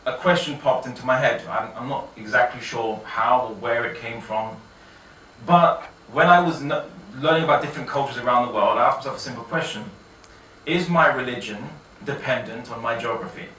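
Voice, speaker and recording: A man speaks in a bright, forward voice, picked up from a distance in a very echoey room with faint noise. He tells a personal story to listeners conversationally, often restarting and correcting himself as he goes. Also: mid-range voice, brisk. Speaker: speaker giving a personal testimony. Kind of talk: informal autobiographical talk to an audience.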